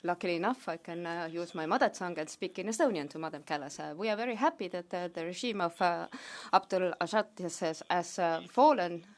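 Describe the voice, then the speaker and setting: Hesitant woman interpreting a speech. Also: lowish voice. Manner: hesitant, with many fillers and pauses. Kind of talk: simultaneous interpretation of a parliamentary speech.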